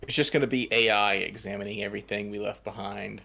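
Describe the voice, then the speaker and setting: Low-ish voice guy, slow and wondering out loud. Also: fairly even intonation, creaky. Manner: musing, slow questions. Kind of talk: casual chat among friends.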